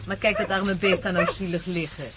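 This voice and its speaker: A woman's voice: lowish voice, little pitch movement, brisk, natural voice. A woman acting in a Dutch radio play as a spirited country doctor and wife, wry and lightly reproachful in her delivery. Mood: mild reproach. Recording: radio play with clear background noise.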